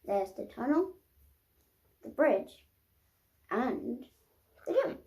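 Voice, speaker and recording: A woman reads a children's storybook aloud in a fairly high voice, taking her time over each phrase. She is expressive and stops to explain the pictures. The recording has a roomy echo. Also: extremely slow, vocal fry. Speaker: storybook reader. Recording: roomy, echoing sound.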